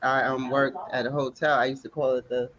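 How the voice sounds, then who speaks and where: A man guest on a casual chat, telling stories in an animated, chatty way. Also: mid-range voice, brisk, highs cut off, crowd noise.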